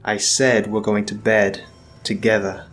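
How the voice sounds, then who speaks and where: A man's voice: level tone, measured pace, fairly bright tone. A man doing a menacing, controlling husband. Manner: low, cool and controlling. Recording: faint music underneath.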